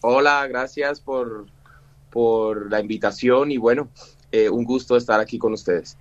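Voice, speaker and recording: A man's voice: medium pitch, measured pace, highs cut off. A man in his forties from Cuba, a musician guest talking in an interview, hesitant, with many fillers and restarts. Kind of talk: interview conversation.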